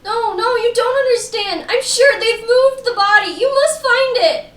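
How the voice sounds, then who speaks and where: A woman's voice: extremely high pitch, a little slow, a bit bright, reverberant, distant mic, slight background noise. A woman playing an emotional, imploring female client of a detective in a radio play. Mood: pleading. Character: distraught, pleading young woman client of a detective.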